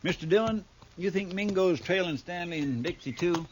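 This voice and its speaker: A loyal, eager young frontier deputy, played by a man in a 1950s radio western. His voice is fairly high and twangy, and he speaks earnestly. There is faint hiss behind him from the old recording. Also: normal speed, close to an everyday voice. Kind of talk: 1950s radio drama acting.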